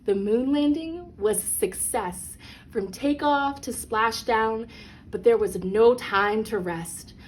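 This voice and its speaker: A woman's voice: fairly high pitch, takes it slowly, low-quality recording. A woman reading a children's picture book aloud as a storyteller, expressively and with dramatic emphasis on key phrases. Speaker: read-along storyteller. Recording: clear background noise.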